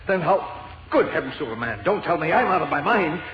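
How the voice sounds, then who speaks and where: A man's voice: on the high side, big pitch swings, quick pace, music in the background. An American actor playing a frightened, stammering older newspaperman in 1940s radio drama style. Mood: panicky. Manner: stammering, agitated. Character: frightened, flustered older newspaperman, trembling and desperate. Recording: roomy, muffled old radio recording.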